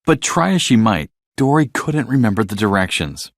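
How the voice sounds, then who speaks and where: A man reading a children's bedtime story as narrator, in an expressive, scripted storytelling style. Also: fairly low pitch, moderate pace, no echo, studio quality.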